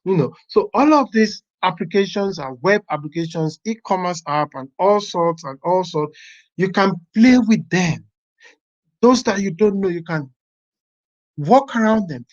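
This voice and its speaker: A software testing teacher walking a class through a website step by step, thinking aloud as they browse. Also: medium pitch, expressive, unhurried. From Nigeria. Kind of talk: live class walkthrough. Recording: dull lo-fi online class audio.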